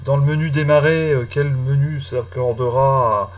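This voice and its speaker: A man giving a casual screen-recorded software tutorial, talking slowly with many hesitant fillers. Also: mid-range voice, fairly even intonation. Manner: hesitant, many "euh" fillers, chatty and apologetic. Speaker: tutorial host.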